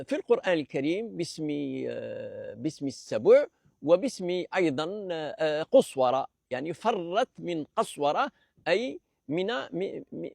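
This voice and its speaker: Man lecturing with a gravelly voice. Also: on the high side, darkish tone, dry recording, clean studio sound. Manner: hesitant, many fillers and restarts; measured, explanatory. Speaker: presenter of a language talk series. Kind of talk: unscripted lecture.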